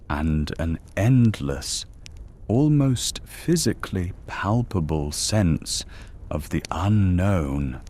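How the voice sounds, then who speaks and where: A man with an extremely deep voice tells a bedtime sleep story at a very slow, unhurried pace. His delivery is soft and hushed, with long drawn-out phrases and words that sometimes sink into a whisper. A faint hum of background noise sits under the close-miked voice.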